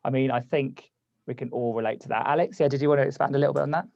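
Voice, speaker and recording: A man's voice: mid-range voice, fairly even intonation, very fast, vocal fry, darkish tone, no room sound, muffled recording. A man hosting a live online workshop over a video call, casual and conversational, losing his voice. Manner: casual, conversational, reads chat aloud. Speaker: workshop host.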